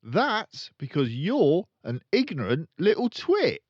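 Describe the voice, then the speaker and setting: An older storyteller acts out a crooked car-dealer dad from a children's storybook, a man who brags loudly and sneers. His pitch is pushed up and swings wildly, in a roomy, echoing recording. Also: huge pitch swings, slow pace, muffled recording, heavily acted. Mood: scornful. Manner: loud bragging, sneering, colloquial. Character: boastful, crooked, rude car-dealer father, middle-aged.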